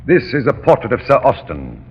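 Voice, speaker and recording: Courtly, animated castle host. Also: male voice, medium pitch, fairly quick, breathy edge, muffled recording. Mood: ominous. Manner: formal, gracious, theatrical. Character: courtly, ominous uncle hosting in haunted castle. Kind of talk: 1930s radio drama acting. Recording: noisy, reverberant 1930s radio recording.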